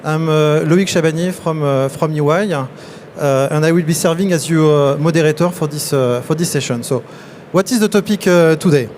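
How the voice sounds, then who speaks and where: Guy moderating a panel, kinda high voice, lots of uhs, faint noise behind. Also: a little mellow. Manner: halting, many fillers and restarts. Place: large exhibition hall on stage.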